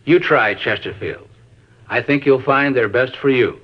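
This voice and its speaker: A man's voice: medium pitch, a little slow, lo-fi sound. A man giving a scripted product testimonial in the style of a 1950s radio advertisement. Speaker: advertising endorser.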